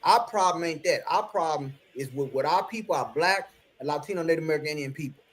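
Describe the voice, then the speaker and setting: A man with a somewhat high voice speaks bluntly on an online panel. He has a preachy manner and repeats phrases to drive his points home. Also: fast talker, slight background noise. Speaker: panel member.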